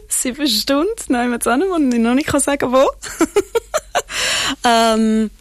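A woman's voice: mid-range voice, creaky, no echo. A woman with a Swiss German accent, an actress guest chatting casually, laughing often and mixing in English phrases. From Switzerland. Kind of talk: casual interview chat.

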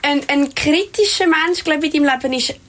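A woman leads a casual interview. Her voice sits a little high. She asks friendly, leading questions and laughs easily. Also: a little fast, fairly bright tone.

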